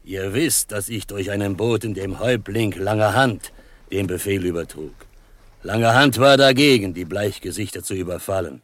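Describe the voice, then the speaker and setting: An actor playing a proud, solemn chief held prisoner in a western radio drama, speaking formally and with measured gravity. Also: male voice, on the low side, no echo, lightly acted. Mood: solemn, earnest. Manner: formal, measured, speaks of himself in third person.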